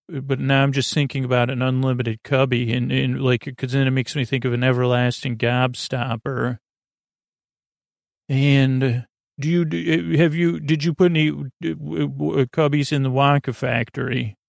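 A man with a creaky voice hosting a bedtime podcast in a soothing, halting, meandering monologue that keeps drifting into tangents. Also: mid-range voice, no room sound, studio quality. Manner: meandering, halting, digressive, absent-minded. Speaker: podcast host.

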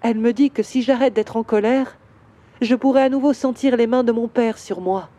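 A woman voicing a grieving male bronze statue in a children's audio story, slow and wistful. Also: fairly high pitch, warm tone, voice pushed up, close to an everyday voice. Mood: sorrowful.

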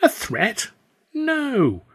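Audiobook role: eager, guileless young funnyman, voiced by a man, raised pitch, earnest exclamations. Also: wildly swooping pitch, very slow, a bit bright, breathy edge, heavily acted. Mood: trusting, protesting. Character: young male comedian, sunny, trusting, eager.

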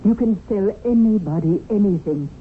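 A woman's voice: mid-range voice, moderate pace, lightly acted. Woman playing a seductive temptress, tinny radio. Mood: sultry coaxing. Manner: coaxing, insinuating. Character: seductive, greedy, manipulative female temptress. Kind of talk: radio drama acting. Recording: tinny, noisy vintage radio broadcast.

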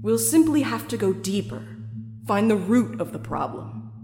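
A woman's voice: medium pitch, sing-song, dark tone. Echoing, sinister female guide played by a woman. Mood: false sympathy. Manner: patronizing, measured, falsely sympathetic, formal wording. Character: sinister female self-help guide spirit, soothing, condescending, coldly predatory. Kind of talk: audio drama monologue, guided-meditation parody. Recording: echoey reverberant hall effect, music underneath. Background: music.

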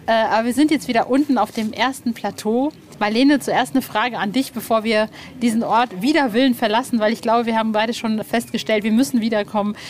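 A woman with a fairly high-pitched voice hosts a casual on-location reportage. She narrates informally and asks questions in a conversational, unscripted style. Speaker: podcast host, interviewer. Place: on location at an old castle.

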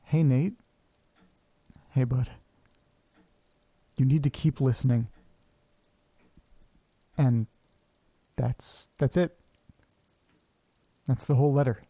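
An actor playing an anxious, lonely, self-doubting man who talks haltingly to himself in a horror audio drama. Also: mid-range voice, mellow. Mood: puzzled, uneasy. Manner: halting, muttering, talking to himself. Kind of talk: audio drama monologue, naturalistic acting.

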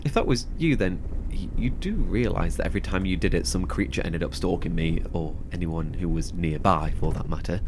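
A man acting a heartfelt, halting, foul-mouthed survivor devoted to his brother in a horror audio drama. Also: sits low, background noise. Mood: exasperated.

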